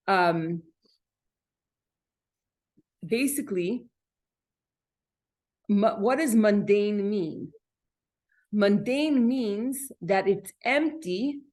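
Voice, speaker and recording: A woman leads an informal class as the teacher. She speaks at a very unhurried pace in a mid-range voice, halting as she goes. She often restates a point or poses a question to her listeners. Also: mid-range voice, darkish tone. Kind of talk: informal spoken lesson explaining Hebrew vocabulary.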